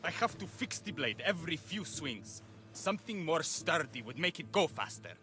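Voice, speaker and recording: A downtrodden labourer in a game, wry and blunt. His voice is a little raised in pitch, with music clearly underneath. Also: very animated, moderate pace, sharp tone. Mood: bitter, blunt. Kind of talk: video game cutscene acting.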